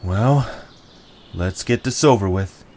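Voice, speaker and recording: A man's voice: fairly low pitch, takes it slowly, darkish tone. A man playing a sarcastic, weary young male adventurer in an audio drama, with a dry manner. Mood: hesitant.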